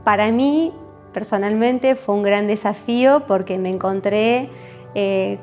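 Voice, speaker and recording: A woman with an Argentine accent talks in an explanatory interview style, as a communicator would. Her voice sits at a middling pitch, with music playing in the background. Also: unhurried, darkish tone. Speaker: communicator interviewed about a university project.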